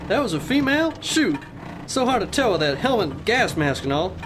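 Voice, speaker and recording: A man's voice: sits high, big pitch swings, fairly quick, noisy background. A man acting a goofy, dim and eager young band member in a comic radio play. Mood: excitable. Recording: music under the dialogue.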